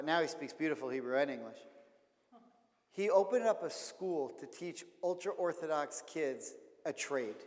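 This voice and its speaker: A man's voice: on the high side, darkish tone, long reverb tail. A man, a rabbi, giving a casual, frank talk to an audience and often repeating words as he thinks. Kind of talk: casual lecture with audience questions.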